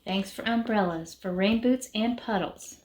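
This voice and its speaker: Unhurried woman reading a children's book. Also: medium pitch. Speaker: reader of a children's story. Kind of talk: picture-book read-aloud. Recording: background noise with clicks.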